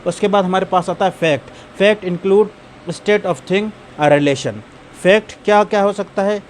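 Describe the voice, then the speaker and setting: A man's voice: high voice, measured pace, a little hiss or hum. A man with a Pakistani accent delivering an explanatory law lecture, mixing in English terms and repeating key points with rhetorical questions.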